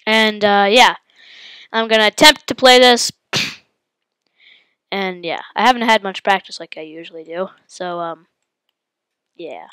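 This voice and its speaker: A woman with a head cold hosting her gaming channel, giving excitable live commentary and yelping at the game as she plays. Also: on the high side, smooth, no room sound. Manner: excitable, yelps and exclaims at the game.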